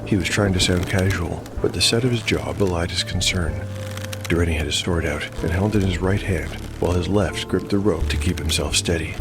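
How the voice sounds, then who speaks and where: Casting: male narrator and game master, low register, scripted fantasy storytelling with music bed. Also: fairly quick, vocal fry.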